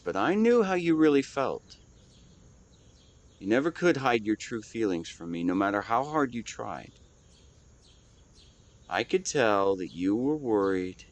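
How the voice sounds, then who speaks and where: A man playing a weary, devoted husband in a scripted dramatic monologue, speaking tenderly and haltingly as if to his wife. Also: lowish voice. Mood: weary, fond. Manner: halting, earnest, intimate delivery. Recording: clear background ambience with nature sounds.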